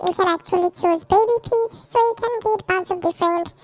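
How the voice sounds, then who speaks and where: A tutorial presenter speaking through a pitch-shifting voice changer set to a baby voice, explaining instructionally. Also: brisk, faint music behind. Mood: matter-of-fact. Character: voice-changer baby voice demonstration, high and small. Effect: pitch-shifting voice changer, baby setting. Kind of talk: software tutorial demonstration.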